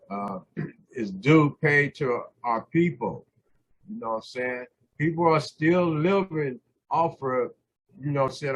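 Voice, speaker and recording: An older man hosting a talk show, hoarse, clearing his throat often and saying "you know what I'm saying" a lot. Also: mid-range voice, a little hiss or hum, low-quality recording. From California. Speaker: host. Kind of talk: casual talk show monologue.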